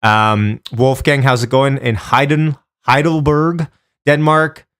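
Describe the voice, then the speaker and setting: A man's voice: medium pitch, flat delivery, slow, a bit bright, clear, resonant tone. Man hosting a casual live stream. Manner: informal, chatty, addresses viewers by name. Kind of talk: casual live stream chat and stock options commentary.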